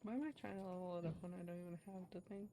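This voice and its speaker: Creaky-voiced young woman streaming a game. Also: on the low side, fairly even intonation, racing, background noise. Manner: offhand, self-talking. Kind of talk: casual gameplay chat, thinking aloud. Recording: loud game music behind the voice. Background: loud game music.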